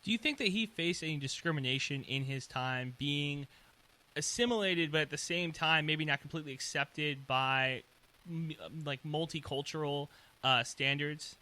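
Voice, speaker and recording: A man hosting a podcast, asking curious, slightly halting questions of his guest. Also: a little high, bright, forceful tone. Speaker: podcast host. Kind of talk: interview questions.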